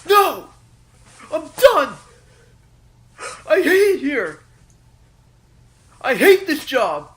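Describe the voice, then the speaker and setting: A man voicing a desperate office worker who rants and yells near tears, in a comedy sketch. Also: very high-pitched, huge pitch swings, very slow, long pauses, full character voice. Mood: ranting. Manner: yelling, ranting, near tears. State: shouting. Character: fed-up young male office worker, desperate, exploding. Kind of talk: comedy voice acting.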